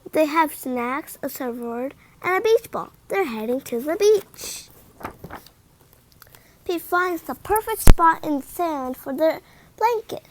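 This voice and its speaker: A woman reading a children's picture book aloud in an expressive, deliberate manner. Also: sits high, a little hiss or hum. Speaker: read-aloud storyteller.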